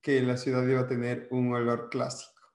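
A man's voice: mid-range voice, monotone, fairly quick, darkish tone. An upbeat man co-hosting a casual interview, introducing and questioning a guest with enthusiasm. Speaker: podcast co-host. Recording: echoey room.

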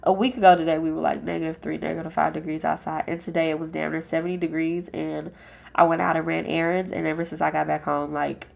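A low-pitched woman with a gravelly, fry-heavy voice, hoarse and a little nasal from a cold. She hosts her own podcast and talks in a loose, frank, filler-filled chat style. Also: flat delivery, quick pace, a little mellow. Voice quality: raspy and nasal. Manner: chatty and frank, with lots of fillers. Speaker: podcast host. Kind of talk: casual solo podcast chat.

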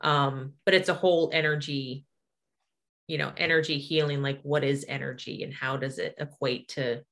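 A woman in her forties with a distinctly low voice speaks in casual conversation. She explains things as a practising energy healer would to someone across from her. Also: fairly even intonation, normal speed, a bit bright. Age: 40s.

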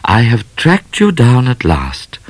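A man's voice: medium pitch, wildly swooping pitch, slow pace, no room sound, voice pushed up, exaggerated voice. A man voicing a romantic, self-assured genteel young lady in a storybook, effusive, coy and theatrical. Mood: triumphant.

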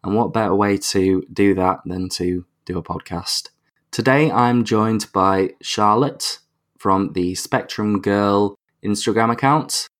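A man's voice: on the low side, takes it slowly. A man hosting a podcast in a casual, jokey way, sometimes stumbling over his words. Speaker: podcast host.

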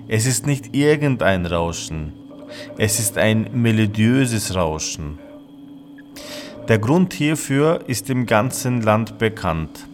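A man narrating a scripted fable as a storyteller. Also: medium pitch.

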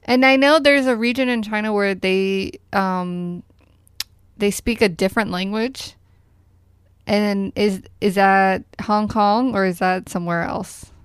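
A woman's voice: medium pitch, steady tone, measured pace, smooth, dry recording, studio quality. A woman hosting a podcast in a playful, jokey way, with theatrical swings in her voice as she chats. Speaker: podcast host. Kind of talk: casual chat.

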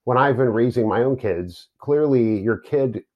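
A man's voice: mid-range voice, a little slow. A man thinking aloud in a casual academic discussion, musing in a conversational way. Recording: dull lo-fi audio.

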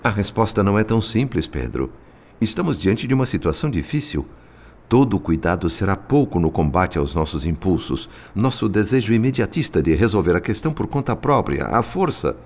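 An actor playing a solemn, devout narrator, telling the story theatrically in a radio drama. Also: male voice, fairly low pitch, very animated, a little fast, a little mellow. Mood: grave, counseling. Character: solemn, devout male spirit narrator, measured and moralizing. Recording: long cavernous reverb.

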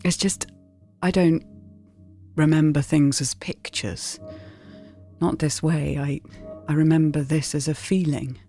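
An actress playing a wistful, anguished mother in an audio drama, speaking in a halting, emotional way. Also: sits low, steady tone, dark tone. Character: wistful, anguished mother reviewing her memories. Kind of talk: naturalistic audio drama acting. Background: music.

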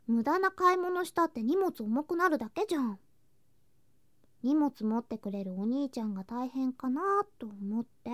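A woman playing an affectionate, playful younger girl cousin in an intimate ASMR voice drama, speaking softly and coaxingly and slipping into whispers. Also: high voice, no echo. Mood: sweet, coaxing. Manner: soft, sweet, coaxing, drifting into whispers and singsong. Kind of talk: ASMR situation voice drama, intimate roleplay to listener.

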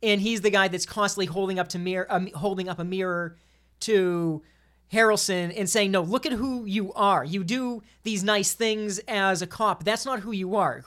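A man's voice: high-pitched, fairly bright tone. An emphatic man talks casually in a podcast discussion. He gives his opinions with enthusiasm, often doubling up words as he makes a point.

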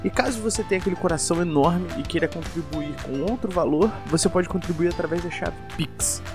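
A male podcast host, upbeat and friendly as he asks his guest questions. Clear music plays under his voice the whole time. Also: on the high side, fairly quick. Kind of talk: podcast hosting with sponsor plug.

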